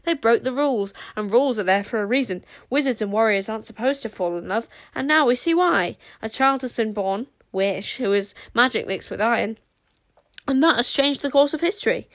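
A woman's voice: on the high side, animated, brisk, no room sound. Lady doing a worried fussy guy who keeps moaning oh dear, agitated. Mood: fretful.

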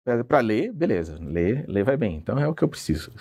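A man's voice: fairly low pitch, very animated, normal speed, gravelly, darkish tone, slightly rough. A man, a translator telling his story in an interview, hesitant and self-deprecating with many restarts. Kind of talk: relaxed conversational storytelling.

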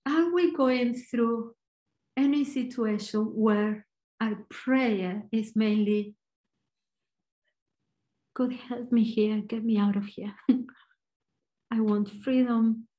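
A woman with an Argentinian accent giving a short, earnest devotional talk, reflective and pausing. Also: on the high side, warm tone, low-quality recording. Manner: earnest, reflective, slow pauses. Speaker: church speaker.

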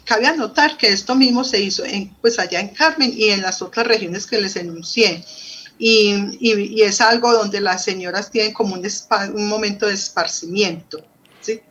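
A woman's voice: medium pitch, normal speed, fairly bright tone, breathy edge, rough recording. A woman with a Colombian accent speaking as an interview guest, recounting her experience conversationally and often rephrasing as she goes. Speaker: guest, professor.

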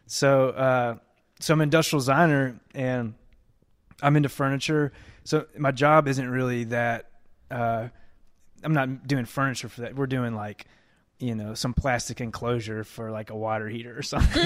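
A man's voice: medium pitch, fairly bright tone. A man in his thirties telling a rambling story on a podcast, laughing often and circling back on himself. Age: 30s. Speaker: podcast host. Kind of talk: casual chat.

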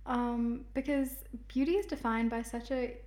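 A woman's voice: on the high side, fairly even intonation, moderate pace, darkish tone. A twenty-one-year-old woman co-hosting a podcast, chatting in an informal, lighthearted, conversational way. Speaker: podcast co-host. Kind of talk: casual chat.

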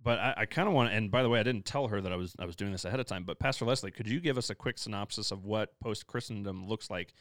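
Rambling low-voiced man moderating a chat. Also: quick pace, hardly any pauses, fairly bright tone, no echo, clean studio sound. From US Midwest. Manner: rambling, many fillers, joking asides. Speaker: pastor, discussion moderator. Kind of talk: casual panel discussion.

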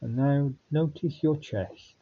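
Slow-speaking man guiding meditation. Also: medium pitch, slightly rough, lo-fi sound. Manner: calm, unhurried, with long pauses. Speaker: meditation guide. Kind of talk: guided body scan meditation.

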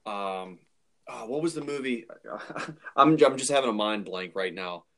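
Low-voiced man chatting on a podcast. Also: expressive, a little slow, fairly bright tone. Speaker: podcast host. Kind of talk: casual podcast chat.

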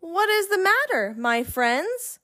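A woman's voice: high-pitched, very animated, slow pace, fairly bright tone, no room sound, studio quality, pitched above the performer's own voice, heavily acted. A woman voicing a small, modest and determined female engine, kindly and earnest, in a children's storybook.

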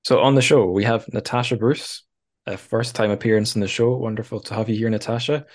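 Man, deep voice, casual podcast host, chatty, wry asides. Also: steady tone, fairly quick, a little mellow, soft background music. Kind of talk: casual podcast news and interview hosting.

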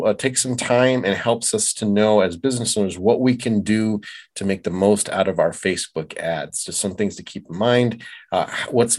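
Casting: American male podcast host, informal and chatty, conversational interviewer. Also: fairly low pitch. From Tucson, Arizona, United States. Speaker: podcast host and small business owner.

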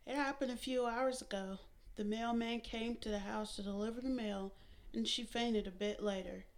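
A woman voicing a shaken, gentle grown brother in a quiet, subdued voice. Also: on the high side, fairly even intonation, normal speed, natural voice.

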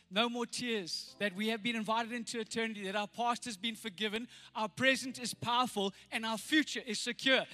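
A middle-aged South African man with a slightly croaky voice, praying and preaching a sermon with earnest, rising emphasis. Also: very high voice, sharp tone. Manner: earnest, builds to rousing emphasis. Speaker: pastor. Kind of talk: sermon with prayer.

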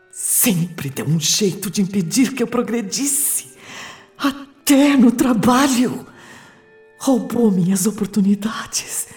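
An actress playing an anguished, bitter young woman trapped in her body, in a radio drama monologue with dramatic, breathy half-whispers. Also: on the high side, darkish tone. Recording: music underneath.

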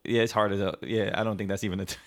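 An American man from the New Jersey area, hosting a casual relationship podcast with his wife and laughing often. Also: lowish voice. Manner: animated, laughs often, quick asides to the audience. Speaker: podcast host.

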